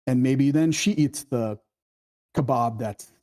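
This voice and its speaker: A man giving casual spoken feedback in a script workshop, halting and informal as he thinks aloud. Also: medium pitch, dark tone.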